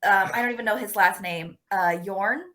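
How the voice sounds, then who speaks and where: A woman's voice: medium pitch, moderate pace, fairly bright tone. A woman on a podcast as a guest from a metal band, chatting casually, flustered and self-deprecating, with hesitant restarts. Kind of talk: casual chat.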